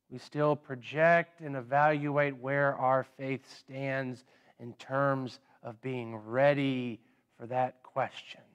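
A man in his thirties preaching a sermon in a church sanctuary, unhurried, often repeating words as he gathers his thoughts. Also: medium pitch, clear, resonant tone. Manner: unhurried, with frequent word repeats and pauses; standing and gesturing while preaching. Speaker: pastor.

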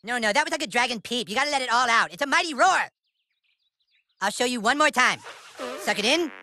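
A man's voice: very high-pitched, expressive, a little fast, bright, forceful tone, exaggerated voice. An actor voicing a bossy, scheming young boy wizard in a cartoon game, with a nasal, whiny delivery. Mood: pompous. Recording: game audio with music behind.

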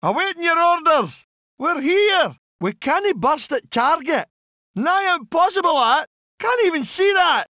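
A man voicing a gruff, cheeky Scottish artillery gunner in a video game, barking clipped acknowledgements with a strong Scottish accent. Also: very high-pitched, big pitch swings, unhurried, sharp tone, dry recording, clean studio sound, heavily acted. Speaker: artillery crew unit voice. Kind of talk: video game unit responses.

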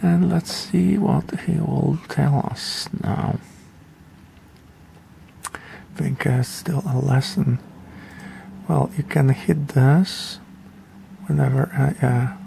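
A man's voice: mid-range voice, slow pace, vocal fry, long pauses, mellow, a little hiss or hum. A man giving a casual game tutorial in a rambling, offhand, hesitant way. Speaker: gaming video creator.

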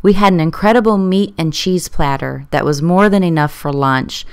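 A woman's voice: lowish voice, moderate pace, darkish tone, smooth, no room sound, studio quality. A woman reading scripted promotional narration.

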